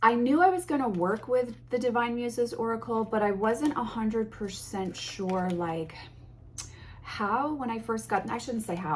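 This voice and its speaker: A woman's voice: mid-range voice, darkish tone, a little hiss or hum. A woman chatting casually and effusively to her viewers as a tarot vlogger, a little sniffly from allergies. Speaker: tarot content creator. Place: home.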